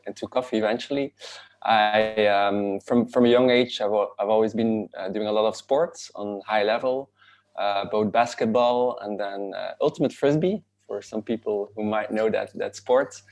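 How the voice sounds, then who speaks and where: Gravelly low-voiced Belgian man. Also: darkish tone. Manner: rambling, many fillers and restarts. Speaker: panelist. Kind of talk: self-introduction on a video call panel. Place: home room. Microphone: laptop video call.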